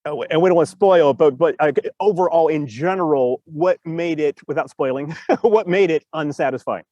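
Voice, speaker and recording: A man with a somewhat high voice talks as a podcast host. He explains things casually in a rambling, animated way, voicing reactions as he goes. The sound is very dry, with no room to it. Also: normal speed, dry recording, dull audio. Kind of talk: casual discussion of storytelling. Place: remote video call.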